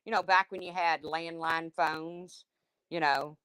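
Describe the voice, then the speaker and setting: A woman with a deep, husky smoker's voice hosting a livestream, reading chat comments and answering them in a blunt, chatty way. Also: steady tone, measured pace, a bit bright, no echo. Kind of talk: casual chat with viewers.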